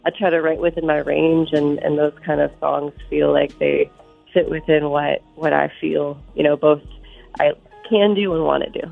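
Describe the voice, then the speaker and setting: A soft-spoken woman with a stuffy cold, a musician talking as a guest on a live radio phone interview and filling her sentences with easy fillers. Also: low-pitched, fairly even intonation, moderate pace, vocal fry. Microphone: phone line. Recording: tinny phone line with faint music.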